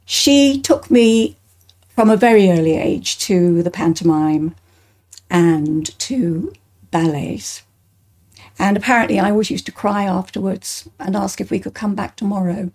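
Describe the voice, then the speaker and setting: Older English woman with a mellow voice. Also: mid-range voice, measured pace. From Bristol, England. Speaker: interview guest, actor. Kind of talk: conversational personal reminiscence.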